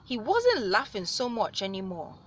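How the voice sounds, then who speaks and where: A woman reading a story aloud as host, with animated delivery and dramatic, drawn-out emphasis on words. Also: medium pitch, slight background noise. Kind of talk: expressive read-aloud storytelling.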